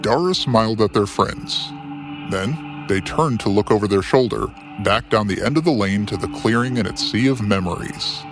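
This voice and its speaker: A man narrating a scripted story theatrically, with ornate phrasing and dramatic, drawn-out pauses. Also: on the low side. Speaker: storyteller and host. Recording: clear music bed behind narration. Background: music.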